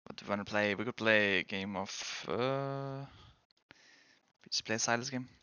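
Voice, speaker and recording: A man's voice: lowish voice, moderate pace, sharp tone, smooth, no echo, highs cut off. A young man from Denmark with a Danish accent, streaming and chatting casually with viewers in a rambling, hedging way. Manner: rambling, hedging, self-correcting. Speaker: game streamer and pro player. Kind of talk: casual chat answering viewers.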